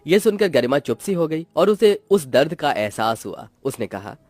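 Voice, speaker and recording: Male narrator for cartoon moral stories, expressive and melodramatic, very animated intonation. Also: a little high, normal speed, dry recording, faint music behind. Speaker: story narrator. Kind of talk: scripted dramatic storytelling narration for a cartoon moral tale.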